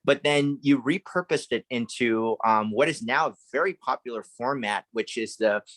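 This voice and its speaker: A man's voice: mid-range voice, moderate pace, fairly bright tone, dry recording. A man hosting an interview, asking long, rambling, enthusiastic questions. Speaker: interview host.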